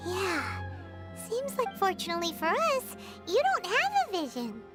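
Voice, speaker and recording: Anime game fairy sidekick: very high childlike squeak, animated delivery, loud music underneath. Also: female voice, measured pace, heavily acted. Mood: sympathetic. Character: small chirpy childlike female fairy companion. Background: playful game music.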